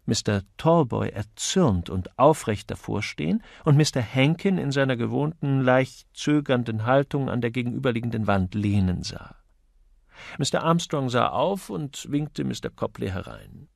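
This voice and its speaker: A male audiobook narrator reading literary prose. His voice is creaky and of medium pitch, recorded very dry and close. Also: animated, normal speed, no echo.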